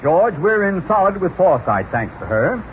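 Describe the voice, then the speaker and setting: An actor playing a jovial, teasing bank boss in 1940s radio comedy, with a hearty manner. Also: male voice, sits high, big pitch swings, moderate pace. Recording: muffled, noisy old radio recording.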